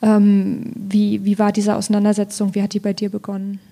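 Woman, low-pitched, fairly even intonation, thoughtful and halting, poet in literary discussion. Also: gravelly. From Thuringia, Germany. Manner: thoughtful, halting, searching for words.

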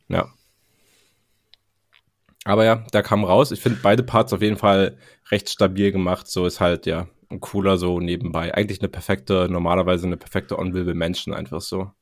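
A man's voice: low voice, dry recording, studio quality. A man co-hosting a podcast, chatting casually about music in slangy, colloquial speech. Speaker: podcast co-host. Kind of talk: casual music review chat.

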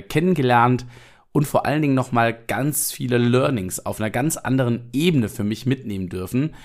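Young male podcast host, upbeat motivational monologue, still a bit run down from being sick, speaks straight to the listener. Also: medium pitch. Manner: energetic, motivational, addresses listener directly. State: recovering from illness.

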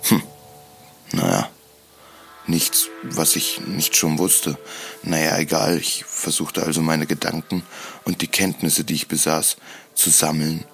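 Very deep, gravelly man narrating. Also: measured pace, a bit bright, faint music behind. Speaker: podcast host. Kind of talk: scripted first-person audio drama narration.

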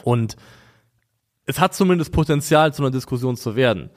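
A man chatting football analysis on a podcast. His voice sits at an ordinary middle pitch. He weighs each point slowly, often pausing on fillers before finishing a thought. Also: expressive, darkish tone. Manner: measured, hesitant with frequent ums. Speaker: podcast co-host. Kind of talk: casual football analysis chat.

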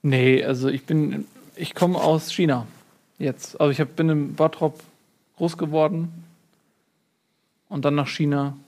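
A man's voice: fairly high pitch, measured pace, pauses often. A man playing a rambling fortune cookie author. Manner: hesitant, rambling, deadpan. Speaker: mock interview guest. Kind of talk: improvised comedy sketch.

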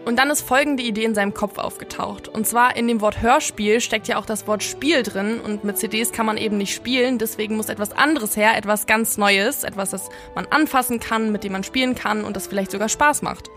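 A woman's voice: fairly high pitch, fairly quick, few pauses, a bit bright, soft background music. A young woman talking casually as the co-host of a podcast. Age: young adult. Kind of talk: casual conversational podcast talk.

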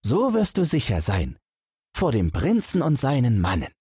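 A crafty young fairy-tale hero, as a male reader plays him, pitched far up from the reader's own voice and swinging freely in tune. He sounds sly and boastful, with polite words laid on thick in storybook fashion. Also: wildly swooping pitch, moderate pace, mellow, slightly rough, no room sound, studio quality, heavily acted. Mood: sly reassurance. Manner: sly, urgent, mock-courteous. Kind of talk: storybook character voice.

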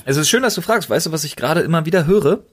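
A man's voice: a little high, lively intonation, fast. A man co-hosting a podcast in casual chat, speaking in an emphatic, slangy and enthusiastic way. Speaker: podcast co-host.

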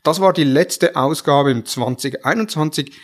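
A man's voice: a little high, moderate pace. Swiss-accented male podcast host. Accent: Swiss German. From Switzerland. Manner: friendly, with frequent hesitation fillers.

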